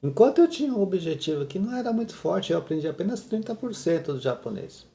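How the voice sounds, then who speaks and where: A man's voice: high voice, very animated, quick pace, gravelly, warm tone. A middle-aged man teaching Japanese in a casual talking-head video, speaking conversationally with frequent tag questions and restarts.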